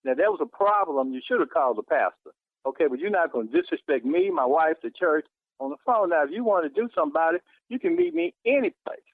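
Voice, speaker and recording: A man with a fairly high voice argues heatedly down a thin phone line. He is indignant and emphatic, repeating phrases, and the sound is dry. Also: sing-song, quick pace, vocal fry, no room sound. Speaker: church member receiving a prank call. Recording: tinny telephone line.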